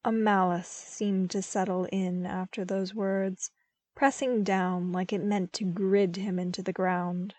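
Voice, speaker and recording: A woman reading scripted story narration as an audiobook narrator, with careful, expressive delivery. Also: medium pitch, no echo. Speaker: podfic narrator.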